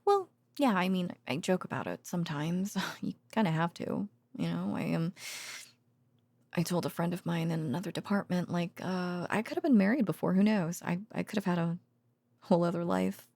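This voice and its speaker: Woman playing a weary, affectionate researcher girlfriend, ASMR audio roleplay, soft half-whispered murmur, frequent vocal fry, very dry close-mic sound, hesitant intimate delivery. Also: fairly low pitch, lively intonation, moderate pace, dry recording. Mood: wry, uneasy. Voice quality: soft, breathy, partly whispered. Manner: hesitant, drowsy, musing, with sighs and soft chuckles. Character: tender, weary female secret-facility researcher and girlfriend. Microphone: close microphone.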